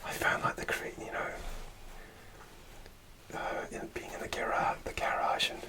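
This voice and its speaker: A man softly whispering a rambling ASMR story, with many fillers and restarts. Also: a little slow, low-quality recording. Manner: soft, relaxed whisper throughout. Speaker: ASMR creator.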